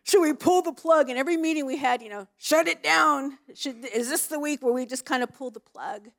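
A woman's voice: high-pitched, brisk. A woman leading church ministry, talking in a casual, frank way with frequent fillers. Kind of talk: casual chat.

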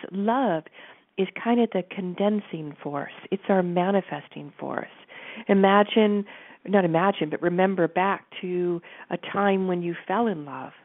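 Lower-voiced woman coaching over a phone-like line. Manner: calm, guiding, addresses listener directly. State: stuffy nose. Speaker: host and coach. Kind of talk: guided teaching talk. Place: home office.

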